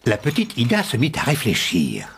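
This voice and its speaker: A man's voice: mid-range voice, huge pitch swings, moderate pace, gravelly, fairly bright tone, slight background noise. A man narrating a children's fairy tale in a scripted storybook style. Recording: music behind the narration.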